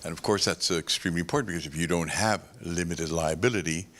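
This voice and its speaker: Deep-voiced man on a panel. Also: fast talker, a bit bright. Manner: discursive, explains with homely analogies. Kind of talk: conference panel remarks.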